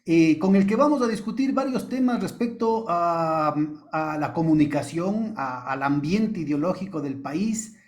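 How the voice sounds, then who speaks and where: Higher-voiced male interview host. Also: animated, a little slow, hardly any pauses, a little mellow. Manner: welcoming, asks long winding questions. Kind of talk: interview hosting.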